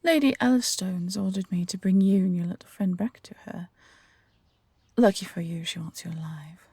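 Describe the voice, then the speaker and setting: A woman voicing a smug, menacing bounty hunter in an audio roleplay, taunting and condescending in an unhurried way. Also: fairly low pitch, darkish tone, breathy edge, dry recording. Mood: mocking.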